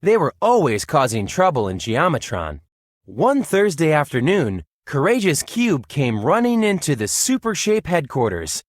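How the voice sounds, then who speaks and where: A man narrates a children's storybook with dramatic flair. His fairly high voice swings very widely in pitch, expressive throughout, in a very dry recording. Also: measured pace, dry recording. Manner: animated, expressive storyteller delivery.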